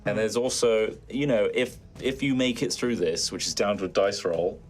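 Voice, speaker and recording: A man's voice: lowish voice, moderate pace, faint music behind. A man hosting a livestream as game master, chatting casually after a tabletop game. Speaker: game master and stream host.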